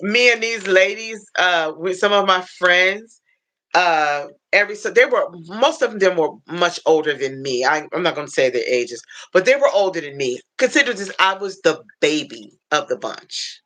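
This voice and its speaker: An American woman hosting a casual livestream, telling stories in an animated way, repeating phrases and acting out what people said. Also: lowish voice, moderate pace. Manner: animated storytelling, repeats phrases, acts out quotes. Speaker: livestream host.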